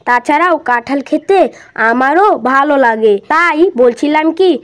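A woman's voice: high-pitched, animated, normal speed, fairly bright tone. A woman voicing a friendly, polite young animal child in a cartoon, chummy in manner. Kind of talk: cartoon voice acting.

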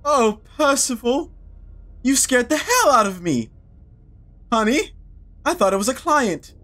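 A man reading a scheming cannibal cook's lines in a raised, raspy voice, sing-song and theatrical. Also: very high voice, very animated, a little slow, a bit bright, voice pushed up, heavily acted. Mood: flirty. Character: scheming woman cook, a cannibal. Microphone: headset.

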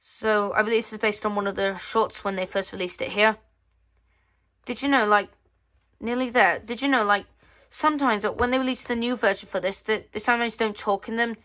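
Bright-voiced casual female magazine commentator. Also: medium pitch, steady tone, brisk. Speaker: host of a magazine flip-through video. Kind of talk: casual commentary, reading page text aloud. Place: indoors at a tabletop.

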